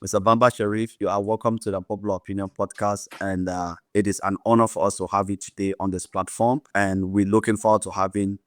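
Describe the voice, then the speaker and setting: A man hosting a podcast, introducing his guest in a formal, measured way with some fillers. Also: on the low side, level tone, no room sound, clean studio sound. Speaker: podcast host.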